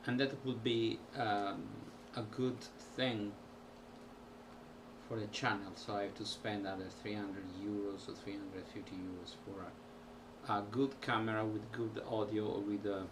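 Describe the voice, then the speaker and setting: A man, a musician, talking casually to camera at home in a halting way with many pauses. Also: on the low side, little pitch movement. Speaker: musician vlogger. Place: small room at home. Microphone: built-in camera mic. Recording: distant home camera audio with background noise.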